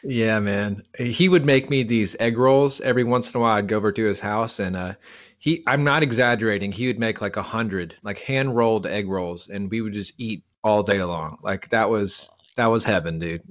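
Male interview host, from the US East Coast, somewhat low voice, easygoing, casual slang. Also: normal speed, muffled recording. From East Coast of the United States. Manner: laid-back, calls guest "man" and "dude". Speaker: podcast host.

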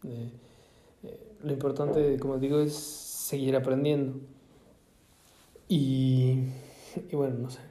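A man from Ecuador musing aloud in a casual monologue, halting often with fillers and restarts. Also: medium pitch, flat delivery, warm tone. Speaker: vlogger. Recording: echoey room.

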